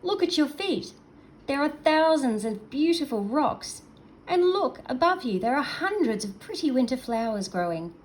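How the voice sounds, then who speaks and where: A woman's voice: high-pitched, big pitch swings, normal speed, a little hiss or hum, voice pushed up. A woman voicing a small, earnest male seal from a storybook, timid but brave, with a pleading manner. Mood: eager, encouraging.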